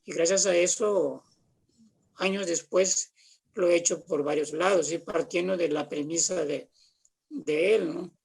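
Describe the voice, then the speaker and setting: A man's voice: a little high, measured pace, vocal fry, a little mellow, husky. A Mexican man in his seventies chatting anecdotally as an interview guest, retelling conversations in a storytelling way. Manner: chatty storytelling with acted-out quotes. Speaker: guest theatre practitioner. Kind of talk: casual anecdotal interview talk.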